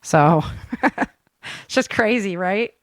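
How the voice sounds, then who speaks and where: A woman's voice: medium pitch, lively intonation, takes it slowly, no echo, clean studio sound. A woman hosting a show, chatting casually and informally. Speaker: show host and author. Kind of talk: casual chat.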